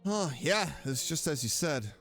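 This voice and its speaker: A man's voice: fairly high pitch, expressive, a little slow. A man giving casual, reactive game commentary as a streamer, with chatty asides and dialogue read aloud. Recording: music behind the voice.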